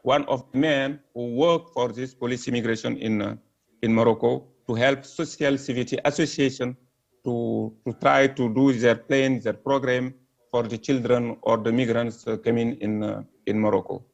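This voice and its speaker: Senegalese man, hesitant panel talk, gravel in the voice. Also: medium pitch, steady tone, moderate pace. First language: French. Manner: hesitant, many fillers and restarts; earnest, apologetic about his English. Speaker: panel speaker. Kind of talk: halting live-stream talk. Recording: dull lo-fi stream audio.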